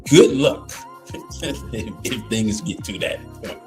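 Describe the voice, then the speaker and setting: Slow, halting man losing his voice. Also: lowish voice, big pitch swings, a little mellow, soft background music. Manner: slow, halting, with many ums and pauses. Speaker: livestream host. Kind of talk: casual commentary reading news aloud.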